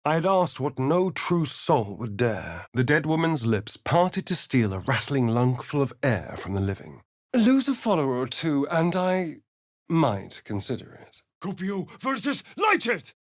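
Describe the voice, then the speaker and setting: A man's voice: mid-range voice, sing-song, measured pace, dry recording, clean studio sound. An actor voicing a sly, vain, sardonic male vampire spawn in a video game, with an arch, theatrical drawl. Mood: arch, teasing, then sharp alarm.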